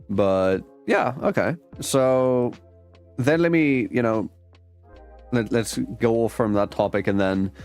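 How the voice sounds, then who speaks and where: A man hosting a casual interview, relaxed and joking, laughing often. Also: on the low side. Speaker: host.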